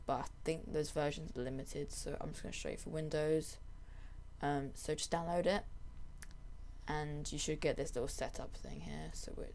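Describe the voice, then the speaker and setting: A young adult presenter giving a casual software tutorial in a voice-over. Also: mid-range voice, slight background noise.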